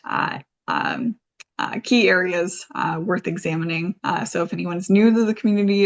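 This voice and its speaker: A woman hosting an informal presentation as a community foundation leader, speaking in loosely structured, promotional phrases. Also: fairly low pitch. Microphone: video call. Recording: slightly dull, lo-fi online call audio.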